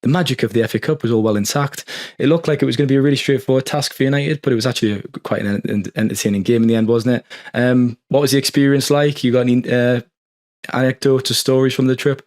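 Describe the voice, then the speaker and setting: An English man hosting a football podcast, friendly and teasing, his voice slightly hoarse. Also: mid-range voice, steady tone, fast, a little mellow. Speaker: podcast host. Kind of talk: casual podcast chat.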